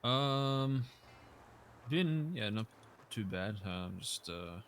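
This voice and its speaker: A man in a roleplay game, talking hesitantly with many fillers and trailing off softly. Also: medium pitch, animated, slow pace, slight background noise. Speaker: roleplay player. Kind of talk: in-character roleplay chat.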